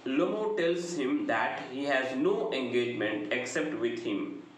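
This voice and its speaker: Slow South Indian male lecturer, very echoey room. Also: mid-range voice, expressive. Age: middle-aged. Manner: deliberate, short measured phrases. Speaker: English literature lecturer. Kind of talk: prepared lecture. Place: indoor room, very reverberant. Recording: very echoey room, noticeable background noise.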